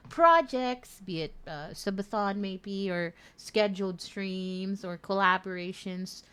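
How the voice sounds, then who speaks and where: A woman hosting a casual radio-style stream interview, chatty and quick to laugh. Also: mid-range voice, clean tone. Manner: chatty, laughs often, warm check-ins.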